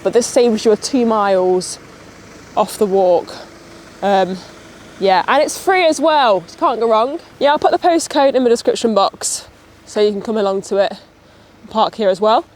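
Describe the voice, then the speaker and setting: A young woman with a Nottingham accent, sniffly and a bit nasal, chatting excitedly as a travel vlogger while out walking. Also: medium pitch. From Nottingham, England. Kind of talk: chatty walk-and-talk vlog. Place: outdoors on a countryside walk, rain.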